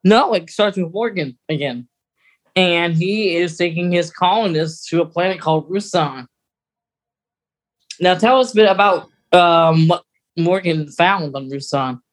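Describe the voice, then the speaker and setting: A podcast co-host chats casually and explains as they go. The voice sits at a middle pitch. The delivery is very slow and unhurried.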